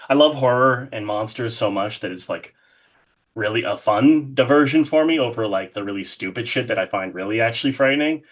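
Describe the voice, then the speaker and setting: A man speaking as an interview guest in a casual, rambling, informal way with lots of hedging. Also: mid-range voice. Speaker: interview guest, horror artist.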